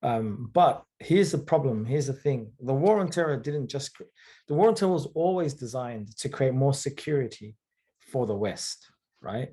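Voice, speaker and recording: A man from the UK, speaking thoughtfully in a conversational interview, often pausing with fillers and checking in with "right?". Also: mid-range voice, animated, dark tone. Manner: thoughtful, hesitant, with frequent fillers and rhetorical "right?". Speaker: author and guest.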